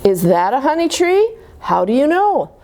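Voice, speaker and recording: A woman's voice: high-pitched, big pitch swings, normal speed, warm tone. A woman voicing an eager, curious little boy bear in a children's storybook, chirpy and full of questions.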